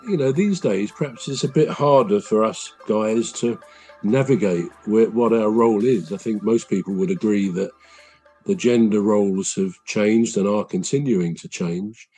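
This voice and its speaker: A man co-hosting a podcast, chatting casually in a rambling, self-correcting, gently humorous way. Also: on the low side, husky, low-quality recording. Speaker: podcast co-host. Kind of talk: casual chat.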